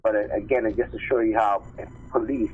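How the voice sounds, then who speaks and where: Man, lowish pitch, opinionated live stream commentary, emphatic delivery, dull lo-fi sound with faint noise. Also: fairly even intonation, fairly quick. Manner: emphatic, opinionated, often seeks agreement with tag questions. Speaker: live stream call host. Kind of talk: informal commentary on news articles, partly read aloud.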